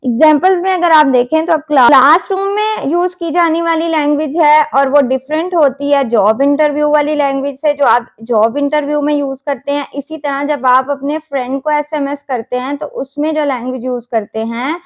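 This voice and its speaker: Woman, Pakistani Urdu accent, high voice, lecture style, rhetorical questions. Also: moderate pace, few pauses. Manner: explanatory, mixes English terms, rhetorical questions. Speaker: English instructor. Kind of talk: recorded lecture with slides.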